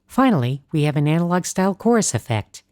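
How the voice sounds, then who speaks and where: Warm woman narrating a synth tutorial. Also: low voice, big pitch swings, fast, dry recording, clean studio sound. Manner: clear, explanatory tone. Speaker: host.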